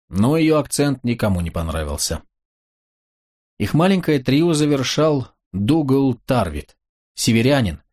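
A man narrating a novel as an audiobook in a steady, measured, wry reading. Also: fairly low pitch.

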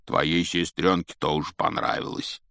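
A man voicing a big, good-natured giant groundskeeper for a storybook, with a gruff, folksy, blunt way of talking. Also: very deep voice, little pitch movement, unhurried, bright, forceful tone, no echo, studio quality, full character voice. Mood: sly, teasing. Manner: hesitant, folksy, teasing, blunt.

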